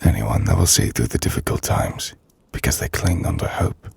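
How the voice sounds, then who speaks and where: A man playing a melancholy, gentle half-vampire nobleman in an intimate ASMR roleplay, speaking in a hushed, breathy, formal and reflective manner. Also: expressive, exaggerated voice. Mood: tender, admiring. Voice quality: low, gravelly, breathy. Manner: hushed, breathy, slow reflective delivery with formal, measured phrasing. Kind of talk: ASMR audio roleplay, intimate dramatic monologue.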